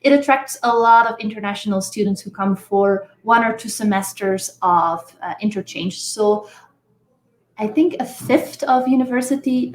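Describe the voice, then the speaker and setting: Woman from Belgium, mid-pitched, measured, explanatory, roomy echo. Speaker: guest, engineering professor. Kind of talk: podcast interview answers. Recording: echoey room.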